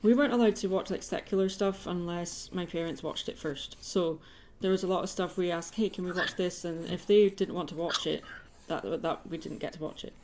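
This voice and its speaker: A woman's voice: lowish voice, brisk, background noise. A woman in casual, conversational chat, the kind heard on a livestream. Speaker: artist hosting a livestream.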